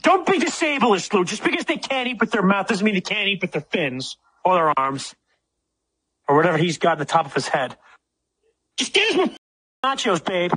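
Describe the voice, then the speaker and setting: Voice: mid-range voice, sing-song, normal speed, pushed and bright, breathy edge, full character voice. An actor playing a whiny, childish, dramatic frat-bro man in a comedic cartoon dub. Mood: petulant whining.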